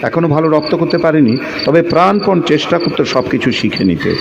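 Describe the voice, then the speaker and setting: A man playing a lovestruck, brooding narrator of a secret romance in an audio drama, with poetic phrasing and pauses. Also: a little high, expressive, vocal fry, background noise. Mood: brooding, reflective.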